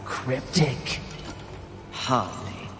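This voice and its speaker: A woman's voice: very deep voice, expressive, extremely slow, full character voice. An actress playing a proud warrior horsewoman of the apocalypse in a fantasy video game, dry, scornful and cocksure. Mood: wary, sardonic. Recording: echoey, reverberant, with music behind.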